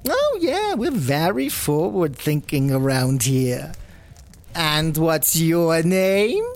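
A woman's voice: deep voice, big pitch swings, slow pace, a little mellow, full character voice. Gushing, swooping lady rabbi. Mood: gushing, welcoming. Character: effusive matchmaking woman rabbi, chatty, secretly scheming host. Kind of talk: comedy audio drama acting.

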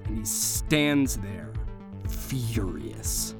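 A man's voice: lowish voice, very animated, very slow, music in the background. A man improvising vivid, descriptive narration as he runs and plays a tabletop role-playing game. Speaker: game master and player.

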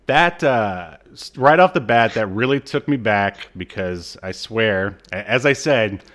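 A man's voice: lowish voice, animated, unhurried, fairly bright tone. An American man co-hosting a casual comedic chat, given to jokey, mock-dramatic asides. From United States. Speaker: podcast co-host.

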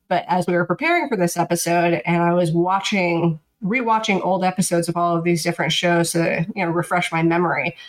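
A woman in her thirties co-hosting a podcast, talking in a flat, monotone, dryly sarcastic way. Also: on the low side, poor audio. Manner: dry, sarcastic. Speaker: podcast co-host. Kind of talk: casual chat.

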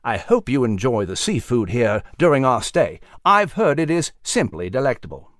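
A man's voice: medium pitch, very animated, moderate pace, fairly bright tone, dry recording, studio quality. Nigel, a man voicing a courtly mouse. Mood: genteel. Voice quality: courtly, formal diction. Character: genteel old male mouse, proper and kindly.

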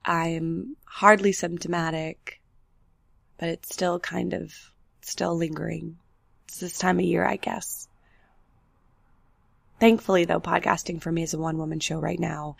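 A young woman with a low, heavily gravelly voice speaks in an even, steady register, nasal from getting over the flu. She tells her story in a candid, unhurried way for her solo podcast. The sound is close and dry, with no room echo. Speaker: podcast host. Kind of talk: solo reflective podcast talk. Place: apartment with road noise outside.

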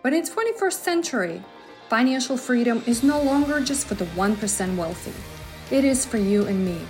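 Woman hosting a podcast, higher voice. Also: darkish tone. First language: Russian. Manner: easygoing, talks as ideas come to her. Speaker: podcast host. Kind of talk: solo finance podcast, thinking aloud.

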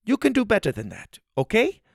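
A man's voice: high voice, wildly swooping pitch, a little fast, creaky, husky, no room sound, clean studio sound, pitched above the performer's own voice, full character voice. A man reading aloud and voicing a stern female panda center worker who scolds in short clipped phrases.

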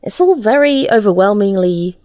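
A woman talking casually as an interview guest, halting now and then as she searches for her words. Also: medium pitch, animated, warm tone, no room sound, studio quality. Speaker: interview guest, audio drama writer.

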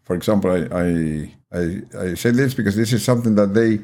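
An older man with an Argentine Spanish accent speaks in a very deep, warm, mellow voice. He tells his story in a halting, thoughtful way, full of uhs and restarts. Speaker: podcast guest. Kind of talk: life story interview.

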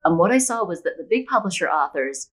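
Low-pitched woman, opinionated podcast guest. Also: lively intonation, fast, slightly rough. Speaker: podcast guest, women's fiction author. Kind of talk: conversational interview, explaining opinions.

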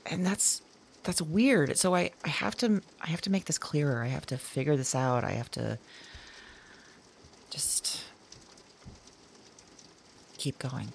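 An actress playing a sleepless, haunted woman who confides her dreams to an audio diary, halting and drowsy, sometimes slipping toward a whisper. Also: low voice, vocal fry, a little hiss or hum, full character voice. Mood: haunted, unsettled. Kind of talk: intimate audio drama monologue as recorded diary.

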